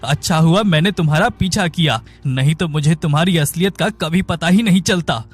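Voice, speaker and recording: Guy doing a dramatic young husband in a cartoon story, earnest, a bit high pitched, music behind. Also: a little fast, husky. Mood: betrayed, angry. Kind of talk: animated moral story dialogue.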